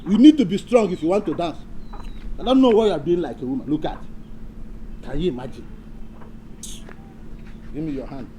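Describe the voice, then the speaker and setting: A man's voice: fairly high pitch, moderate pace, pauses often, background noise, close to an everyday voice. A man with a Nigerian accent acting an impatient, strict dance teacher who scolds with exasperated questions in a comedy drama. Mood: exasperated scolding. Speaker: actor.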